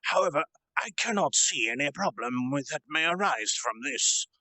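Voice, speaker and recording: A man's voice: a little high, animated, normal speed, pushed and bright, no room sound, pitched above the performer's own voice. A man voicing a loyal, serious monster follower, formal and devoted, in a fantasy story reading.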